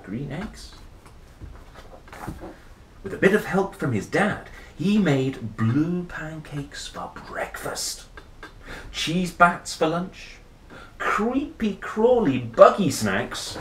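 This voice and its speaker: A man's voice: medium pitch, fairly bright tone. A man reading a children's story aloud in a playful, theatrical way, with casual musing asides. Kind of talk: expressive picture-book read-aloud with casual asides. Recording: roomy echo, faint noise.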